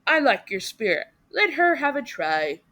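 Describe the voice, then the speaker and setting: A woman voicing a kindly, hearty gentleman from a storybook, speaking in polite exclamations. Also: high-pitched, very animated, unhurried, heavily acted. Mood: approving.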